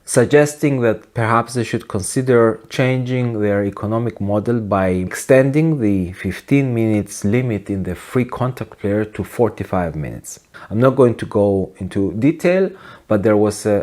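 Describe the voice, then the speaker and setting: A man in his forties giving an opinionated video essay to camera in a deadpan, wry manner. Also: on the low side. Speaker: host of a music production video channel. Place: small home studio, indoors.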